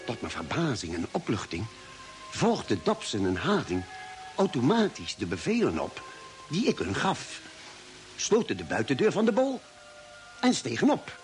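An actor playing a space traveller solemnly recounting a perilous voyage as scripted narration in a Dutch radio drama. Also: male voice, mid-range voice.

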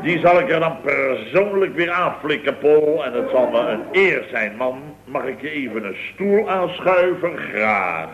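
A man's voice: mid-range voice, animated, unhurried, hardly any pauses, a bit bright. A man playing a blustering, sarcastically courteous boss of a building firm in a radio comedy around 1970. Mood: mock courteous. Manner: theatrical, sarcastically courteous, exclamatory. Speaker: actor in a radio comedy serial. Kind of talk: 1970s Dutch radio comedy acting. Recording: dull vintage radio recording.

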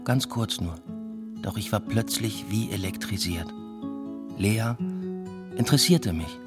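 A man's voice: lowish voice, measured pace, over loud music, natural voice. An actor playing a weary, introspective male academic, narrating a radio play in a dry, reflective, understated way. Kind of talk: literary first-person narration in a radio play.

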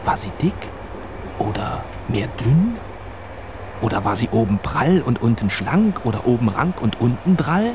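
A man narrating a children's radio play as a storyteller, in deliberate short phrases. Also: mid-range voice, very animated. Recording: clear traffic and vehicle noise behind. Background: vehicle and outdoor ambience.

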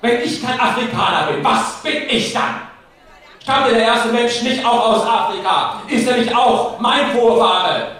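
Boastful male orator, theatrical and taunting, high-pitched, heard from far off in an echoing hall. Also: expressive, unhurried, fairly bright tone, full character voice. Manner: declamatory, taunting. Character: boastful, taunting man addressing a crowd from a stage. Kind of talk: theatrical stage speech. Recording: echoey hall, distant mic, crowd noise.

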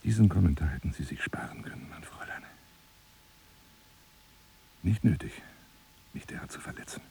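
An actor playing an obsessive, grandiose telephone seducer in a radio drama, husky and breathy, florid and formal, wounded and menacing. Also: male voice, low-pitched, animated, moderate pace, dark tone. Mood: wounded, sarcastic. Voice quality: husky, breathy, often dropping to a whisper. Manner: theatrical, florid, formal address, swinging from pleading to scornful.

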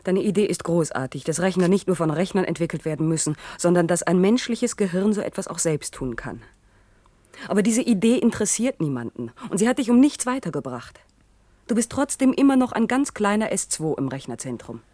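A woman's voice: on the low side, expressive, brisk, mellow, no room sound. An actress playing an impatient, reproachful woman in a radio play, speaking in a pointed, matter-of-fact way. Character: impatient, reproachful female partner of a dreamer. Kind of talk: German science-fiction radio play acting.

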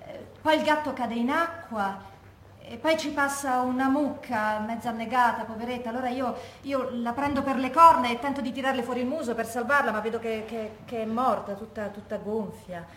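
A woman's voice: on the high side, fairly even intonation, moderate pace, a bit bright, lightly acted. An actress playing a haunted woman who recalls tragic years and recurring nightmares in a reflective theatrical monologue. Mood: anguished remembering. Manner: reflective first-person storytelling. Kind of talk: theatrical monologue from oral testimony. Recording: echoey room with background noise.

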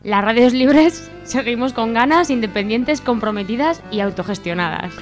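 A woman's voice: on the high side, expressive, normal speed. A woman co-hosting a radio show, presenting partly a script. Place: radio studio. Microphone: studio microphone. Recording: music playing behind the voice.

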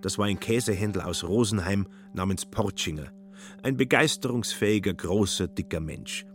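Guy reading story narration for a radio drama, deepish voice, quiet guitar behind. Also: moderate pace.

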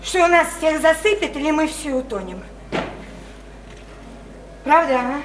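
Very high-pitched, naive small-town woman. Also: expressive, measured pace. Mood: earnest, pleading. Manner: rambling, insistent, simple folksy speech. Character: naive, sickly, chatty small-town woman living at home. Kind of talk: stage-style radio drama acting. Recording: roomy echo, faint noise.

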